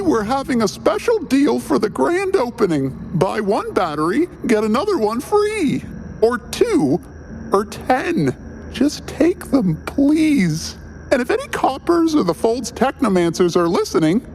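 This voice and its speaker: A frantic, jittery discount battery huckster acted out by a performer in a comedic radio ad. The delivery rambles awkwardly, with lively jumps in pitch. A faint noisy ambience sits behind the voice. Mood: eager, rambling.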